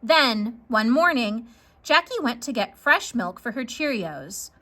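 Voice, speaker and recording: A woman narrates a children's story in a high, bright voice. She takes her time, with very lively, varied intonation. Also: measured pace.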